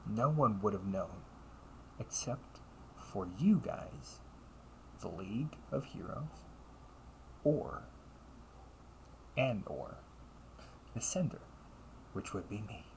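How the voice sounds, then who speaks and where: A man playing an earnest, weary time-traveling older brother turned villain in an amateur audio roleplay, speaking haltingly and often dropping to a breathy near-whisper. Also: on the low side, lively intonation, full character voice. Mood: explaining, halting. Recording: noisy amateur home recording.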